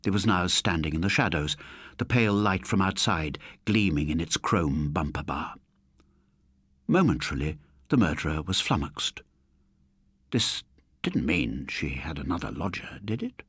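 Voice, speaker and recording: Low, expressive, husky male storyteller. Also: moderate pace, no room sound, clean studio sound. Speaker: audiobook narrator. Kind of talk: scripted suspense story narration.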